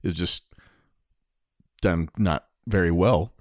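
A man's voice: deep voice, slow, no echo, studio quality. A man co-hosting a podcast, talking casually in an informal, conversational manner. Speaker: podcast co-host.

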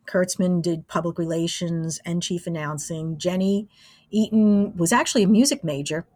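A woman's voice: on the low side, a little mellow, dry recording. A woman in her sixties reminiscing casually as an interview guest. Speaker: interviewee, radio station alumna.

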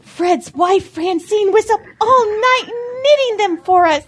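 Comic radio-play hen: very high, animated, vain, theatrical. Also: female voice, takes it slowly, heavily acted. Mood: pleased, chatty. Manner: theatrical, exclamatory. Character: vain, dramatic, chatty female hen. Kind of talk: comic audio drama acting.

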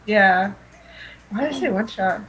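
A woman's voice: medium pitch, a little slow, highs cut off, crowd noise. A woman co-hosting a podcast, chatting and bantering casually without a script. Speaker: podcast co-host.

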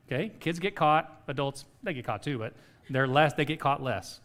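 A man's voice: a little high, animated, normal speed, fairly bright tone. A middle-aged man preaching a sermon in a conversational way, often checking in with "okay?". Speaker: pastor.

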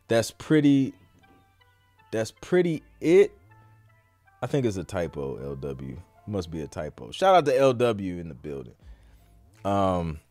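A man's voice: fairly low pitch, a little mellow, no echo. An American man hosting a podcast, chatting casually through a live Q&A in an informal, slangy, rambling way. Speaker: podcast host.

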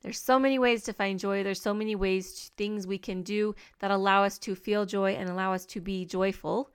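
A woman's voice: medium pitch, steady tone, normal speed, clean tone. A woman hosting a solo podcast, talking to listeners in an earnest, upbeat, encouraging way, with plenty of fillers. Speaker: podcast host.

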